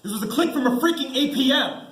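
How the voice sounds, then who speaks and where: A teenage boy acting an anxious, halting young soldier in an amateur stage play. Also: very high voice, animated, fairly bright tone. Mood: agitated, angry. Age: teens. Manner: halting, stammering, nervous. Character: anxious young army sergeant trapped on a mine. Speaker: high school student actor. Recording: roomy, noisy school stage recording.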